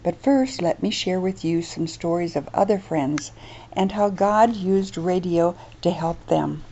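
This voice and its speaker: Female radio ministry host: low-pitched, mellow, earnest and gentle, dull lo-fi sound. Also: unhurried, a little hiss or hum. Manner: gentle, earnest, softly preaching. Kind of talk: scripted radio devotional.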